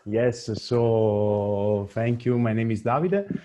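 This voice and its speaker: Deep-voiced Italian man on a video call. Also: takes it slowly, mellow. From Italy. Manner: hesitant, repeats himself. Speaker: deputy executive director. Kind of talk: webinar self-introduction.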